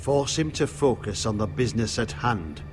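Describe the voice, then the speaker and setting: An actor playing a proud, loyal noble retainer in a fantasy game, speaking with urgency. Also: male voice, mid-range voice, lively intonation, normal speed, darkish tone, faint music behind, lightly acted. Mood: proud, urgent. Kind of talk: dramatic fantasy game acting.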